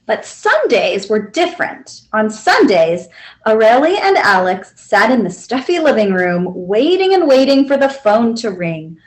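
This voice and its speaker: Woman storyteller for children's picture books, high voice, lively intonation. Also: unhurried. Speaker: library storyteller. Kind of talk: children's picture book read-aloud.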